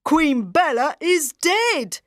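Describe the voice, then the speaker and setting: A woman's voice: sits high, big pitch swings, slow, a bit bright, no room sound, clean studio sound, pitched above the performer's own voice, heavily acted. A woman voicing a grown male woodcutter in a storybook, speaking earnestly and urgently.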